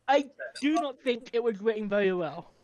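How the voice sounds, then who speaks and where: A man co-hosting a casual podcast chat, measured and critical, often speaking without contractions. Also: extremely high pitch, animated, darkish tone. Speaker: podcast co-host.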